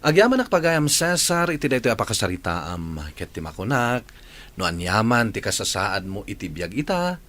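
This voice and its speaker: A man with a Filipino accent hosting a radio drama, speaking in an expressive presenter style. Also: lowish voice. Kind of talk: expressive radio advice and narration.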